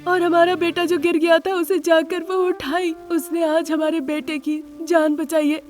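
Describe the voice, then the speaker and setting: Earnest, grateful wife and mother for a moral tale female reader acting, high voice over music. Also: level tone, measured pace. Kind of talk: moral story character voice.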